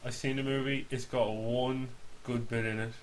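A man's voice: medium pitch, level tone, moderate pace, low-quality recording. A man co-hosting a podcast, bantering casually and bluntly about films and games, with plenty of swearing. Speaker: podcast co-host. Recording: faint background hiss.

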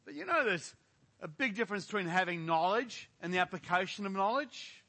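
Older pastor with a bright, forceful voice. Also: male voice, high voice, lively intonation, a little fast. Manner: earnest preaching full of rhetorical questions to the congregation; frequent fillers and restarts. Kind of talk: sermon with opening prayer.

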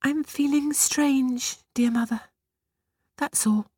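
A woman voicing a dutiful, tearful young peasant girl in a storybook, with a high, breathy, pleading voice. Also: measured pace, dry recording, clean studio sound. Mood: subdued.

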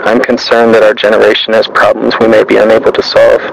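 A man with a lowish, crackly voice recites a scripted piece as its narrator. His delivery is measured and stays very flat, with little rise or fall. There is noticeable noise behind him on the recording. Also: vocal fry. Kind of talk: scripted literary monologue, read aloud.

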